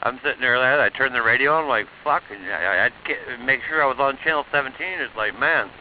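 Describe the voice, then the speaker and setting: A man chatting casually over CB radio in a halting, repetitive way, with some swearing. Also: mid-range voice. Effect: two-way CB radio transmission. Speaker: CB radio operator chatting on air. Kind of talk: casual chat. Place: heard over a CB radio receiver. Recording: noisy narrowband CB radio with clicks.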